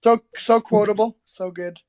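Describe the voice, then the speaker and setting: A woman's voice: mid-range voice, fairly even intonation, moderate pace, darkish tone. A woman losing her voice from a cold, chatting in a playful, jokey way. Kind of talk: casual chat.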